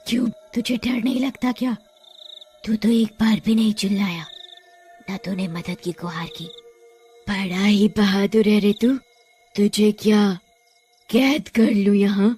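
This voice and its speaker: A cruel man-eating witch, acted out by a woman in a storybook tale. She taunts and threatens with drawn-out, menacing words and a cackling edge, over background music. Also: medium pitch, steady tone, mellow, natural voice. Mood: mocking, menacing. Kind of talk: dramatic children's moral story acting.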